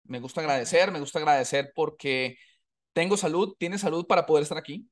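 A man's voice: a little high, a little fast. A man hosting a podcast by video call, upbeat and thankful, often repeating his phrases. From Colombia. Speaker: podcast host. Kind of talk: casual interview chat. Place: home setup in a US city.

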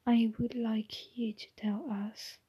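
A woman whispering the part of a calm, gentle old male headmaster wizard. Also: a little high, slightly rough, heavily acted. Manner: whispered, quiet and measured.

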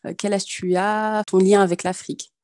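Woman, podcast host, calm measured delivery, mid-pitched, mellow tone. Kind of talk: scripted introduction and casual interview questions.

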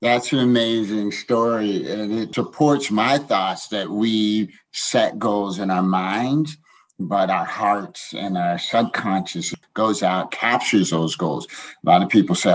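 A man hosting an interview show, talking in an energetic, motivational way. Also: on the low side, slightly rough, low-quality recording. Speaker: podcast host.

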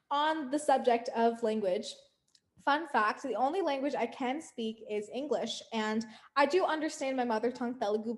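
A young American woman co-hosting a podcast, talking in an earnest, emphatic way and building up her points. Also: a little high. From United States. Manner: earnest, emphatic, lists points with rising force. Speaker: podcast co-host. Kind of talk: casual podcast discussion.

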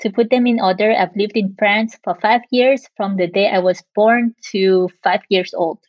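A woman's voice: mid-range voice, fairly even intonation, moderate pace, no echo. A woman in her thirties who grew up in Thailand, chatting casually as an interview guest and laughing often. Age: 30s. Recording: muffled lo-fi audio.

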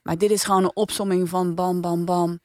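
A woman's voice: on the low side, flat delivery, a little fast, darkish tone, no room sound, studio quality. A woman hosting a casual podcast review chat, speaking in a blunt, critical way.

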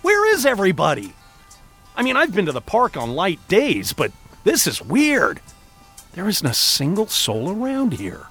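An excitable, mild-mannered everyman, acted by a man, talks to himself in an audio drama. The voice is high and very animated, theatrical and chatty, with faint music behind it. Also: moderate pace, husky, heavily acted. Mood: puzzled, uneasy. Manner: theatrical, animated, self-talking. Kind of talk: audio drama monologue, thinking aloud.

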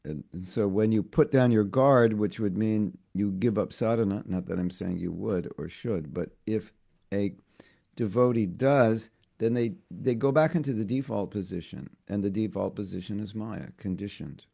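A hoarse man giving a devotional class, talking haltingly and often repeating words as he thinks. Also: on the low side, dark tone. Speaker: spiritual teacher.

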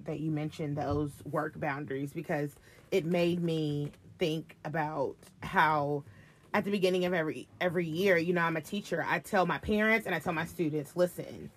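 A hoarse woman co-hosting a casual podcast, talking earnestly and encouragingly with drawn-out emphasis. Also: sits low, steady tone. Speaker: podcast co-host.

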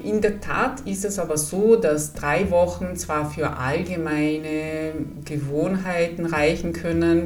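A woman from Italy with an Italian accent, a psychologist calmly explaining exercises to listeners of her podcast in a gentle, encouraging way. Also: sits low, far from the mic. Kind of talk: calm explanatory podcast talk.

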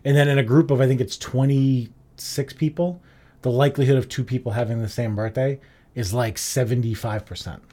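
A man talking through a laid-back podcast chat, filling his explanations with "like" as he goes. His voice sits at an ordinary middle pitch. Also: steady tone, a little fast, warm tone. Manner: chatty, explains with many "like" fillers. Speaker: podcast co-host. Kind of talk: casual chat.

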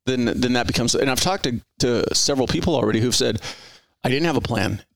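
A man's voice: medium pitch, a little fast, gravelly, dry recording. A middle-aged man hosting a podcast, talking earnestly in a rambling, conversational way with frequent restarts. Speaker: podcast host. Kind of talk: conversational interview.

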